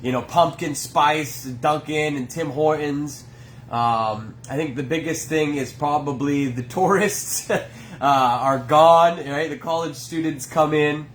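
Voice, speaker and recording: A man with a congested, stuffy voice, chatting loosely with viewers on a live stream. His voice sits slightly on the higher side. A faint background hiss runs under the phone recording. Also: takes it slowly, a bit bright. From Maine. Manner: chatty, greets viewers by name. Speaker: small business owner hosting a live stream. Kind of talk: casual live-stream chat. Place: workplace, indoors.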